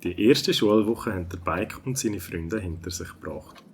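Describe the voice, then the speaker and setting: A man's voice: low voice, normal speed. A man with a Swiss German accent narrating a scripted children's story.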